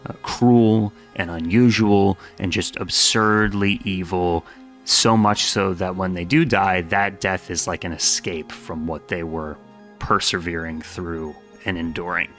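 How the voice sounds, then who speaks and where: A man's voice: low-pitched, steady tone, measured pace, fairly bright tone. A man narrating a scripted video essay as host, with a wry, conversational manner and casual asides. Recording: music under the voice. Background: background music.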